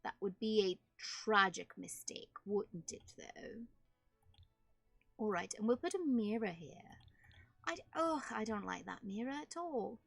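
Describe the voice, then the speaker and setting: A woman's voice: mid-range voice, expressive, measured pace, gravelly, dry recording, faint music behind. A woman with a put-on British accent gives casual, playful game commentary, chatting to herself and viewers in affectedly genteel phrases. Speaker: streamer playing a building game for viewers. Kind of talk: casual live game commentary, decorating rooms.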